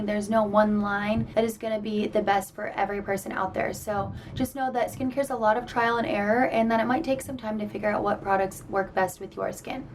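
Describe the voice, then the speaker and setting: A young woman in her twenties, a skincare specialist, explaining a routine in a chatty way with a scratchy, tired voice. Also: fairly high pitch. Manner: chatty, explanatory, uses "y'all" and "kinda". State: scratchy, partly lost voice. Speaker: licensed esthetician and skincare vlogger. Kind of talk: casual talk to camera. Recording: slightly distant mic with faint background noise.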